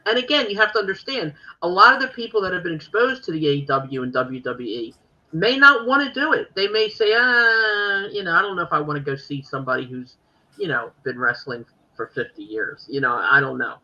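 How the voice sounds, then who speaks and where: A woman's voice: fairly low pitch, animated, fairly quick, sharp tone, highs cut off. A woman with a Philadelphia accent hosting a podcast, chatting casually and rambling with many fillers. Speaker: podcast host. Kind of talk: casual chat.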